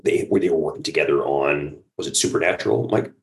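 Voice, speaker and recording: A man's voice: rumbling low, quick pace, creaky. A man chatting as an interview guest, easygoing and talkative, recorded in an echoing room. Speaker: podcast guest, producer. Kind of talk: casual interview chat. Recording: roomy, echoing sound.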